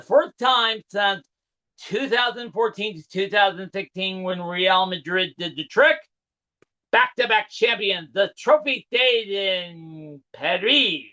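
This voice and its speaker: A man's voice: sits high, pushed and bright. A man with a big voice co-hosting a casual sports recap, heard through dull, lo-fi sound. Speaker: sports show co-host.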